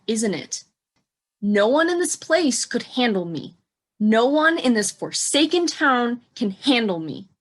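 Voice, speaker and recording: A woman acting in an audio drama as a bitter, defiant young murder suspect, indignant and sardonic. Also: medium pitch.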